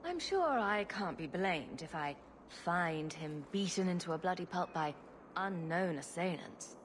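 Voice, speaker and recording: A woman's voice: on the low side, lively intonation, a little slow, slight background noise. A woman playing a bold, sarcastic mercenary heroine in a video game, with a wry, cocky manner. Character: bold, sarcastic adult female mercenary adventurer.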